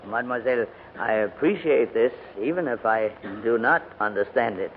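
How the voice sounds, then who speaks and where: An actor playing a courteous, dithering elderly English gentleman in a 1940s radio drama, with a hesitant, hemming delivery. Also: mid-range voice, lively intonation, normal speed, lightly acted. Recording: tinny, noisy old radio broadcast.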